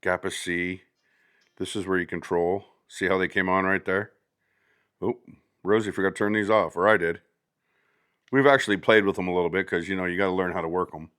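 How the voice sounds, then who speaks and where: A man's voice: sits low, fairly even intonation, brisk, pauses often, fairly bright tone, clean tone. A man talking casually and conversationally as a product reviewer, showing items step by step in an unboxing video.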